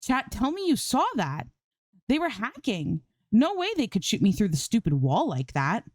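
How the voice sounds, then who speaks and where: A woman voicing an excitable, indignant young female game streamer in a story reading, with slangy, exclamatory protests. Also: medium pitch, moderate pace, no room sound, clean studio sound, voice pushed up. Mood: indignant disbelief. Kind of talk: acted dialogue in fan fiction reading.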